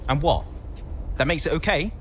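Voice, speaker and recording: An actor playing a frustrated young racing driver in a video game, curt and resentful. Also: male voice, a little high, normal speed, slight background noise, lightly acted. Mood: bitter. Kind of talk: video game cutscene acting.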